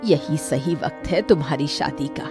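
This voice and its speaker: A woman's voice: deep voice, moderate pace, over music, pitched below the performer's own voice. A woman voicing a worried, protective middle-aged mother in a cartoon story, firm and concerned. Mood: worried, resolute. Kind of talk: dramatized Hindi cartoon story.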